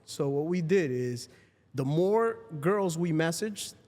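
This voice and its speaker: A man's voice: a little high, expressive, takes it slowly, darkish tone. A man in his thirties from Ecuador, raised in Queens, chatting casually as a podcast guest. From Ecuador, grew up in Queens, New York. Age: 30s. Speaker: podcast guest, real estate entrepreneur. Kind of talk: casual chat. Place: indoor podcast studio. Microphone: close boom-arm studio microphone. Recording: roomy studio with long reverb.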